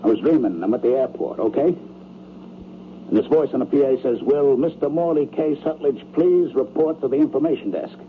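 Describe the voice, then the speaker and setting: An actor playing a blunt, uneasy rich man in an old-time radio drama, with halting, defensive, streetwise speech. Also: medium pitch, natural voice. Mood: earnest confiding. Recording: tinny, noisy old radio broadcast.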